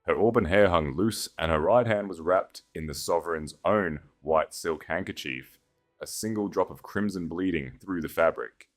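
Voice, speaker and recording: A man narrates scripted dramatic fiction in a very deep voice. His delivery is measured and solemn, with a theatrical weight to the phrasing. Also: soft background music.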